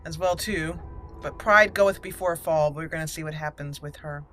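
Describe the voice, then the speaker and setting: A woman doing casual roleplay game commentary as a channel host, thinking aloud deliberately and reading out menu items. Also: low-pitched, bright, forceful tone. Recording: noticeable background noise and game ambience.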